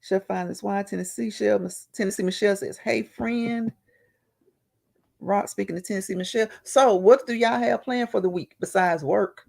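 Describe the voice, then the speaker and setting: Gravelly-voiced woman hosting a live chat. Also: mid-range voice, normal speed, a little mellow. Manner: laughs often, greets viewers by name. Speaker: livestream host reading chat.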